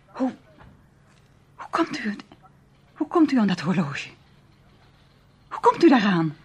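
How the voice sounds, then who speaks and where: A woman's voice: mid-range voice, sing-song, takes it slowly, slight background noise. A woman playing a proud, wary young upper-class lady in a radio drama, speaking formally and easily turning indignant. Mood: agitated, urgent. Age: young adult.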